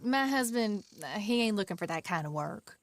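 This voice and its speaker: An actress playing a feisty, protective frontier wife in a video game, blunt and sharp-tongued, with a rural Western American drawl. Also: female voice, mid-range voice, lively intonation, normal speed, slight background noise, close to an everyday voice. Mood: angry, worried. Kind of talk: video game cinematic acting.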